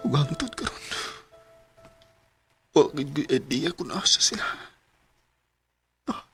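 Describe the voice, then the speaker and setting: A man with a Cebuano Filipino accent acting an anguished young husband and father in a radio drama, with a husky voice. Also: on the high side, lively intonation, slow, a bit bright, faint music behind, heavily acted. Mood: grieving, hushed.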